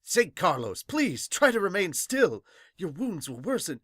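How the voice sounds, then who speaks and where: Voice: medium pitch, sing-song, measured pace, a bit bright, breathy edge, dry recording, studio quality, exaggerated voice. A podcast host voicing a formal, anxious palace gate guard from a manga in an official manner. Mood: stern.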